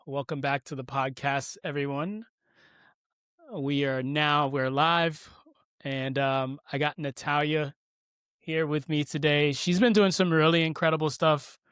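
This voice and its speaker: Smooth-voiced male podcast host. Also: fairly high pitch, steady tone, measured pace, a bit bright, no room sound, studio quality. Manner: friendly, rambling questions with fillers. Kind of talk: casual interview hosting.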